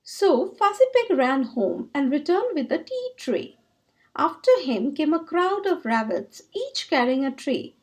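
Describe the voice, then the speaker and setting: Slow, high-voiced woman reading a storybook. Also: big pitch swings, darkish tone. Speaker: children's story reader. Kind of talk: expressive read-aloud storybook narration.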